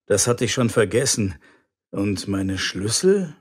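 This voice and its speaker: A man's voice: on the low side, big pitch swings, slow, slightly rough, full character voice. A man playing a forgetful, good-natured husband in a scripted language-lesson dialogue. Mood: absent-minded. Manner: absent-minded, mild.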